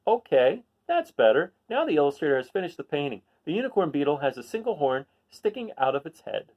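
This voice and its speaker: A man's voice: a little high, big pitch swings, fairly quick, highs cut off. A man reading a children's picture book aloud, adding casual asides and putting questions to his listeners. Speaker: read-aloud host.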